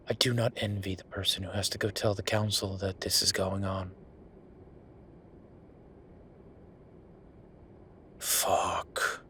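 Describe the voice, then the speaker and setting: An exasperated werewolf boyfriend played by a man in an audio roleplay, with a low-pitched, tense voice. He vents bitterly, swearing and sarcastic about his job. There is clear background noise behind him. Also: fairly bright tone, close to an everyday voice. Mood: outraged. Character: angry, exasperated male werewolf boyfriend, bitter office worker. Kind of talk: dramatic boyfriend roleplay monologue.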